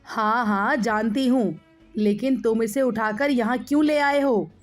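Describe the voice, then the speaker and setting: A woman voicing a spiteful middle-aged aunt who scolds and bosses in a sharp manner, in a moral story. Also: fairly high pitch, measured pace, soft background music, close to an everyday voice. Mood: scornful.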